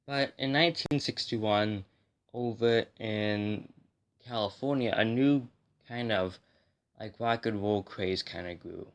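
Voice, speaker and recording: Male video host, lower register, slightly hoarse from a cold, informal and rambling explainer delivery. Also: slow pace. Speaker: host of a music history video series. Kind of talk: casual explainer talk to viewers.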